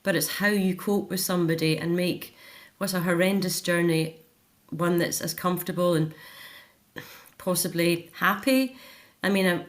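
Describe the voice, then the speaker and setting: A middle-aged woman speaks in a fairly low register. She is a guest in a personal interview, talking reflectively and articulately in a natural conversational style.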